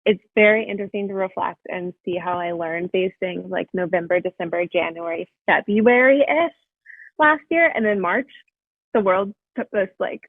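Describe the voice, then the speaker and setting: A woman's voice: mid-range voice, normal speed, creaky, no room sound. A young woman talking as a podcast guest in a chatty way, full of "like" fillers, over a video call. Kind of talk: casual interview chat. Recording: dry, phone-like call audio.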